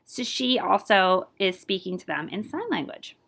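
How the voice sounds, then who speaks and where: A woman reads a children's picture book aloud in the role of a librarian. Her delivery is animated and playful, with a widely moving pitch. She steps out of the story to chat to her listeners. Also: moderate pace, creaky. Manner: animated, playful with scat-like sound words. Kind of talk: expressive children's read-aloud with asides to listeners.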